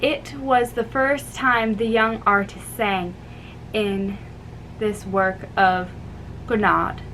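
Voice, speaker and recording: Slow, halting woman reading a story. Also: mid-range voice, steady tone, a bit bright, low-quality recording. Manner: halting, stumbles over foreign names. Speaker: amateur reader. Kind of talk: dramatic book reading. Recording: faint background noise.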